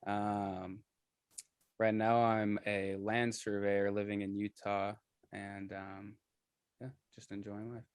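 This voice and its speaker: A man's voice: lowish voice, little pitch movement, takes it slowly, smooth, no echo, clean studio sound. A twenty-one-year-old man introducing himself as a panel guest, laid-back and brief. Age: young adult. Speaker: panel guest, land surveyor.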